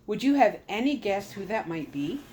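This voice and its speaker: A woman voicing a steady, businesslike doctor dad. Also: high voice, normal speed. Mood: earnest. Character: determined father, a scientist on a search.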